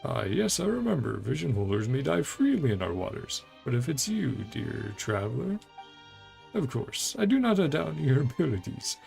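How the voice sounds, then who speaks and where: A grandiose treasure hunter from a video game, acted out by a man, declaiming in florid, courtly phrases. The voice is very gravelly and swings expressively up and down, eager and flattering, with music playing underneath. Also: mid-range voice, moderate pace. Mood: gallant, flattering. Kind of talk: game dialogue read aloud in character.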